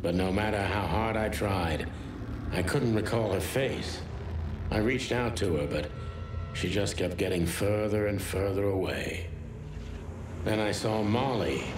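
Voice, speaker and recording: An actor voicing a world-weary, brooding noir detective in a video game, with a dry, sardonic manner. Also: male voice, on the low side, animated, takes it slowly, long pauses, noisy background. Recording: music behind the voice.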